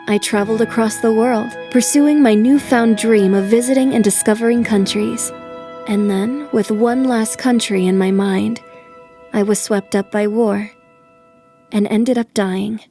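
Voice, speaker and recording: A woman's voice: mid-range voice, steady tone, normal speed, mellow, close to an everyday voice. A woman playing an earnest, polite young noblewoman heroine in an anime dub, both speaking and narrating her thoughts. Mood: reflective. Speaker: voice actor in an anime fan dub. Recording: faint music behind. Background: faint music.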